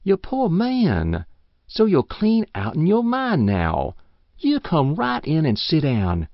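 A woman's voice: extremely low pitch, gravelly, no echo, voice pushed up, heavily acted. A man voicing a stout, kindly old farm wife who fusses over a guest in rural speech. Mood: sympathetic. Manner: fussing, sympathetic, rural speech. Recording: dull lo-fi audiobook recording.

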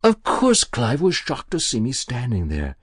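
A man voicing an elderly woman quietly confessing to a long-ago murder, in a raised, lively voice. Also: medium pitch, unhurried, breathy edge, no echo, studio quality, voice pushed up, exaggerated voice. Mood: pained.

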